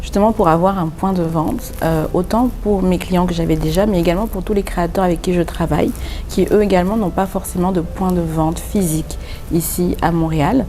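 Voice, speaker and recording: A woman's voice: lowish voice, fairly even intonation, a little fast, warm tone, slight background noise. A woman from France with a French accent, a designer and boutique founder answering interview questions earnestly, with many hesitations as she explains at length. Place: pop-up boutique.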